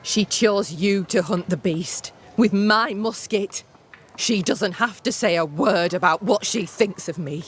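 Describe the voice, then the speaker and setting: Game role: resentful, blunt young settler woman, played by an actress, measured delivery, scornful edge. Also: mid-range voice, slight background noise, lightly acted. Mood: bitter. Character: bitter, proud young woman camp settler, resentful of her sister. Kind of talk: dramatic game cutscene acting.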